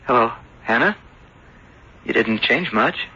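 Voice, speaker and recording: An actor playing an earnest, lovesick young seafarer back home, speaking haltingly in radio drama style. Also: male voice, mid-range voice, expressive, bright, forceful tone, slightly rough, full character voice. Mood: tender, hesitant. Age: young adult. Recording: dull, noisy old radio broadcast.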